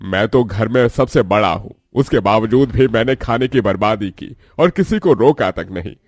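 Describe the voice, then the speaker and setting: A man's voice: lowish voice, lively intonation, moderate pace, darkish tone, no room sound, studio quality, soft background music. A man playing an earnest elderly father-in-law, head of the family, in a cartoon story. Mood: remorseful.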